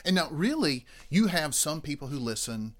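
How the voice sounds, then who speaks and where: A man's voice: on the high side, big pitch swings, moderate pace. Affirming male podcast host. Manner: affirming, conversational questioner. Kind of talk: podcast interview.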